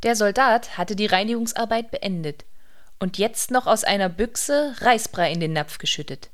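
A woman's voice: mid-range voice, expressive, moderate pace. A woman reading scripted literary narration as a narrator in a radio play.

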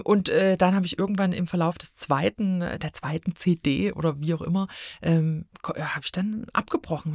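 A woman's voice: lowish voice, no echo, clean studio sound. A woman chatting casually as a guest reviewer, rambling and circling back mid-sentence as she gives her opinion. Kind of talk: casual chat, giving opinions.

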